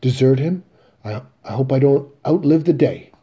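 A man's voice: medium pitch, animated, normal speed, breathy edge, highs cut off, pitched above the performer's own voice. A man voicing a furious, wounded mother who scolds her grown sons in an emphatic, commanding way. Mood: outraged.

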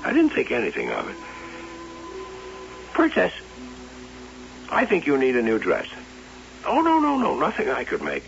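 An actor playing an obsessive, excitable carnival showman in an old-time radio drama, by turns musing and defensive. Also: mid-range voice, normal speed, gravelly, long pauses, faint music behind. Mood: eager. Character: obsessive carnival showman and inventor, smitten with his wax doll. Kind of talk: old-time radio drama acting with first-person narration. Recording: muffled, hissy old radio recording.

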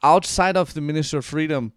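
A man's voice: a little high, fast, clean tone, no echo, clean studio sound. A man from Brazil, hosting a casual podcast interview with lots of enthusiasm and restarts. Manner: enthusiastic, many restarts and fillers. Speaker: podcast host.

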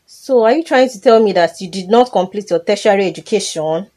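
A woman with a Nigerian accent playing a caring, composed older benefactor in a radio drama, speaking gently and in measured phrases. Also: mid-range voice, natural voice. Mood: kindly.

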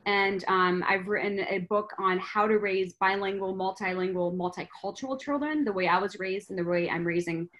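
A woman's voice: mid-range voice, fairly even intonation, normal speed, hardly any pauses, fairly bright tone, highs cut off. Podcast guest: a middle-aged woman, a former teacher, chatty and earnest. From France. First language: French. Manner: earnest, chatty, many "like" fillers. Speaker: guest, language teacher and author. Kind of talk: casual podcast interview.

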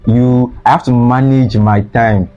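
Young Nigerian man, earnest interview guest, dark mellow tone, slightly lo-fi, faint music behind. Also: mid-range voice, steady tone, unhurried. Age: young adult. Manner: earnest, repeats phrases for emphasis. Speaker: guest, student sweet seller. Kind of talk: casual interview talk. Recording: slightly dull lo-fi sound.

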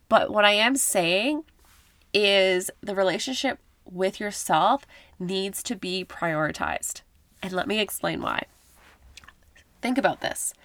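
A woman's voice: mid-range voice, no room sound. Nasal woman hosting a self-care podcast. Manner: warm encouraging self-help talk with filler likes. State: has a cold, a little nasal. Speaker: podcast host. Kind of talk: casual podcast monologue.

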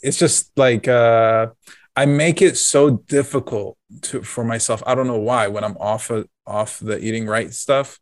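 A man's voice: medium pitch, fairly even intonation, a little slow, no room sound, clean studio sound. A man chatting casually as a podcast co-host. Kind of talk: casual chat.

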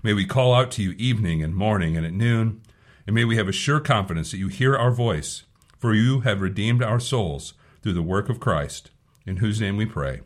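A man leading a devotional sermon and prayer, his voice hoarse from allergies, talking earnestly and often repeating words as he gathers his thoughts. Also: fairly low pitch, animated, normal speed. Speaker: pastor.

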